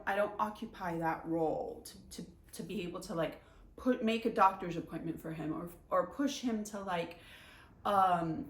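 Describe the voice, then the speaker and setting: A woman in her forties from Iran, telling candid personal stories in a halting, confiding way with many restarts. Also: lowish voice, echoey room. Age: 40s. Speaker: vlogger.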